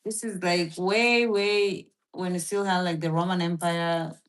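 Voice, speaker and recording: Woman host on a casual live stream, slow and meandering, thinks out loud with a lot of "like"s. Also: on the low side, fairly even intonation. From Philippines. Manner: rambling, filler-heavy, thinking aloud while reading from a search. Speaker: livestream host.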